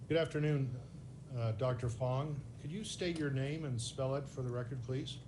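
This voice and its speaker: Halting male lawyer, medium pitch. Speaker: plaintiff's lawyer. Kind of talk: formal deposition questioning. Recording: faint background noise, video call.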